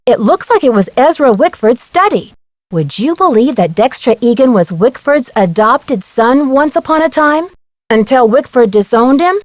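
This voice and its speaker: An actress playing a young female amateur detective in a video game, curious, sensible and gently wry. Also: sits high, expressive, normal speed, no echo, studio quality. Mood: curious, informative. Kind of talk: scripted video game dialogue.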